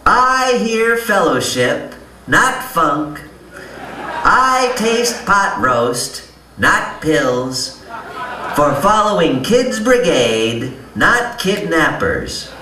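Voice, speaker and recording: A man's voice: on the high side, very animated, extremely slow, sharp tone, heavily acted. A man voicing a pious, chirpy squirrel puppet who announces and preaches with showy, mock-earnest deliberation on a live stage. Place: live on stage in a music venue. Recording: echoey live hall, distant, faint noise.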